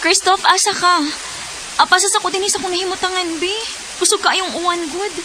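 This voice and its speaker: A woman's voice: very high voice, steady tone, fairly quick, noisy background. An actress playing an anxious young woman stranded in the rain in a radio drama. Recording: very echoey room.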